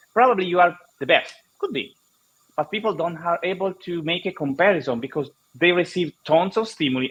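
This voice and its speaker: Italian-accented male guest, slightly high voice, lo-fi call audio, halting and explanatory. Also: a bit bright. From Italy. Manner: halting non-native English, many restarts, explanatory. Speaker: guest speaker. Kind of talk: online conference interview.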